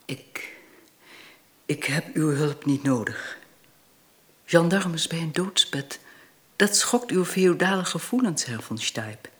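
A woman's voice: deep voice, lively intonation, takes it slowly, long pauses, a bit bright, breathy edge, full character voice. Proud, cool aristocratic lady. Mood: bitter, proud. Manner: formal, restrained, scornful. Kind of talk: radio drama acting.

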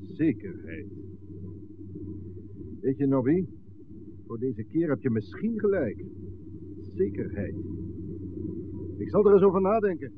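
An actor playing a cold, commanding crime boss in a curt, authoritative way in a 1960s radio drama. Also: male voice, mid-range voice, very animated, slow, long pauses. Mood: calculating. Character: cold, commanding male crime-gang boss, menacingly controlled. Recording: noisy, tinny 1960s Dutch radio recording.

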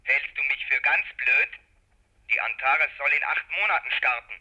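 An enraged astronaut over intercom. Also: male voice, medium pitch, animated, moderate pace, pushed and bright, muffled recording. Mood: furious. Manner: aggressive, demanding. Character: enraged, disoriented male astronaut demanding to be let out. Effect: radio intercom filter. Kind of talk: German science fiction radio drama acting.

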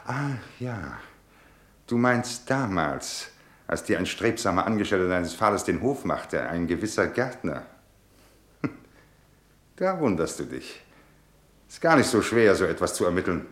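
Sarcastic, bitter husband, swinging delivery. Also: male voice, low-pitched, lively intonation, unhurried, pauses often, echoey room. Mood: scornful, sarcastic. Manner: sarcastic, rhetorical, theatrical delivery. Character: bitter, disillusioned businessman husband and father. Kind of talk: German radio drama acting.

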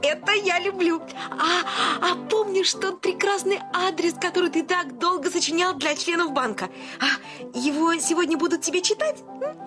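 An actress playing a giddy, chattering banker's wife in a radio play, effusive, breathless and scatterbrained. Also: female voice, very high-pitched, normal speed, over music. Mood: delighted. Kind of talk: theatrical radio play of a classic comedy.

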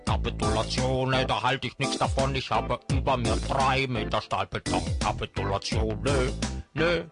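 An actor voicing a sulky, stubborn dictator caricature in comic patter for a cartoon music video. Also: male voice, medium pitch, vocal fry, lightly acted. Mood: sulky defiant.

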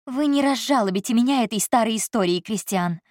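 A woman's voice: on the high side, expressive, fairly quick, darkish tone, no room sound, studio quality, voice pushed up, lightly acted. A woman voicing a proud, stubborn young woman who answers her employer with defiance and cutting emotion. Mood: defiant.

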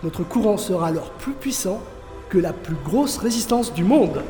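A man's voice: high-pitched, expressive, normal speed, mellow. Scheming, patriotic physicist male actor, theatrical comedic radio-play delivery with music underneath. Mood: grand presenting. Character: Louis, proud, scheming French male physicist. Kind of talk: comedic audio drama acting.

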